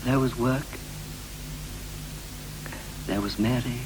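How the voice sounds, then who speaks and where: An actor playing a weary, brooding ageing husband in a 1960s poetic radio play, speaking in deliberate, declamatory phrases. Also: male voice, mid-range voice, fairly even intonation, close to an everyday voice. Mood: weary, reflective. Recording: noisy, hissy 1960s radio recording.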